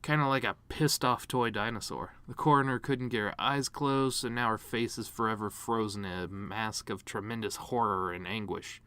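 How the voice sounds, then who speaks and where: A man performing a dramatic monologue as a bitter, grieving horse giving his mother's eulogy, rambling with sardonic, self-deprecating deadpan humor. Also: lowish voice, normal speed, hardly any pauses, smooth, dry recording, lightly acted. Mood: morbid, apologetic.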